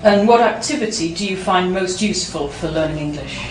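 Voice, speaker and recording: A woman acting as an examiner, reading out formal test questions in a brisk manner. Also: lowish voice, animated. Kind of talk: scripted exam questions. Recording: roomy, distant mic, faint noise.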